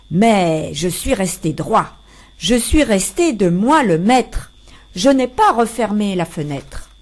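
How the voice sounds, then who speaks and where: A woman with a fairly high voice recites verse at a very slow pace. Her phrasing is measured and expressive, with deliberate pauses between the lines. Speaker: poetry reader.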